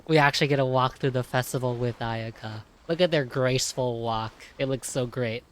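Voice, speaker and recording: A woman with a very deep voice comments casually on a game as she plays. She is chatty and invested, reacting as things unfold. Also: a little fast, a little hiss or hum. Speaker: gaming commentator.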